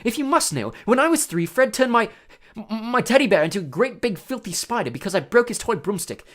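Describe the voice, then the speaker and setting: Hot-tempered schoolboy in a storybook, read by a narrator: tense, blunt, defensive, highly animated pitch. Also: brisk, slightly rough. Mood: fierce, defensive.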